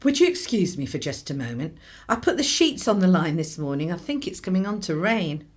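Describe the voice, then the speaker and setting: A woman's voice: on the low side, very animated, brisk. Woman acting a lilting, courteous mum. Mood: apologetic, courteous. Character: apologetic, courteous housewife and mother. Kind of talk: radio drama acting.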